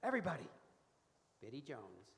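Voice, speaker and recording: A man's voice: mid-range voice, huge pitch swings, moderate pace, creaky, heavily acted. A man playing a fussy pie judge in a live radio comedy, theatrical and declamatory. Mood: accusing. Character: fussy male pie judge making a grave accusation. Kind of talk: live comic radio-show acting. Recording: echoey hall, loud piano behind.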